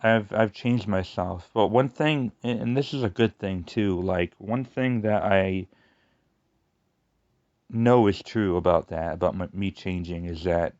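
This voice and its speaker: A man with a lateral lisp, rambling through a casual personal monologue with halting restarts and fillers. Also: low-pitched, a little mellow, dry recording, muffled recording. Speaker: YouTuber.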